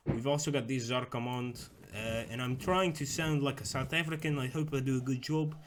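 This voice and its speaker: A man's voice: mid-range voice, a little fast, a little hiss or hum. A young man casually talking through a strategy game on a live stream, chatting with viewers as he plays. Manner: rambling, chats with viewers, talks through moves.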